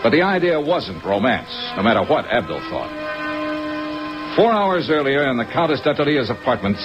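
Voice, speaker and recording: A hard-boiled American investigator played by a male actor speaks with a lively, swinging delivery. He is sardonic and clipped, in the manner of vintage radio drama, on a muffled recording. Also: mid-range voice, natural voice. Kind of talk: 1950s radio drama narration and dialogue.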